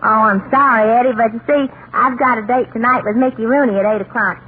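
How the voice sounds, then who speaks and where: A woman's voice: fairly high pitch, fairly even intonation, fast talker, close to an everyday voice. An actress playing a perky, scatterbrained young lady with a breezy, girlish manner in a 1940s radio comedy. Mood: bright, friendly. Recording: very noisy tinny old radio recording.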